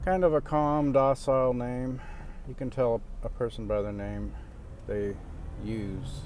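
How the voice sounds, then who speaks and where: A man talking in a casual, rambling monologue, pausing often and restarting his sentences. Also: mid-range voice. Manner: halting, many pauses and restarts. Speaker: vlogger. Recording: steady outdoor traffic noise.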